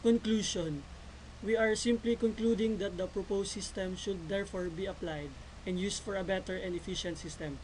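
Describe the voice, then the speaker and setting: A man's voice: high-pitched, fairly even intonation, moderate pace, a little mellow, poor audio. A nineteen-year-old man from the Philippines, a student reading his part of a class presentation formally. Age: teens. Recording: noticeable background noise.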